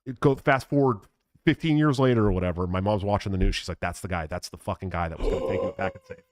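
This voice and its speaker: A man in his thirties hosting a casual podcast, telling a story with many ums, likes and pauses to get around a mild stutter. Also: on the low side, no echo. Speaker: podcast host.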